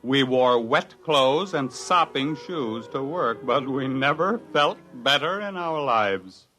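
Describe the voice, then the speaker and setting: A man's voice: mid-range voice, lively intonation, takes it slowly, sharp tone. An actor playing an exasperated, commanding research scientist who tells his ordeal in dramatic 1950s radio style. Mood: wry, weary narration. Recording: restored 1950s radio broadcast, music behind.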